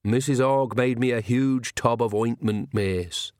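A man's voice: medium pitch, a little slow, darkish tone, clear, resonant tone, dry recording, clean studio sound, close to an everyday voice. A man voicing a cheerful, unworried castle falconer with a deferential, placid manner and rustic grammar, in an audiobook story. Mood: cheerful, obliging.

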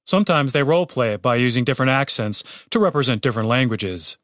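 A man's voice: medium pitch, animated, quick pace, a bit bright, dry recording, lightly acted. A man playing a laid-back, wisecracking young lute player in an audiobook story, dry and casual. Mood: explaining, wry.

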